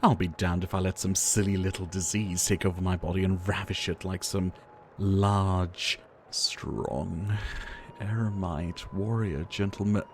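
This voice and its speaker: A man playing a gentle, ailing pharmacist in an ASMR roleplay, soft-spoken and courteous, with wistful, theatrical phrasing. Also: deep voice, steady tone, a little slow, slight background noise. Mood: resolute. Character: gentle, ailing male pharmacist, courteous, earnest, devoted healer. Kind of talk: ASMR roleplay addressed to the listener.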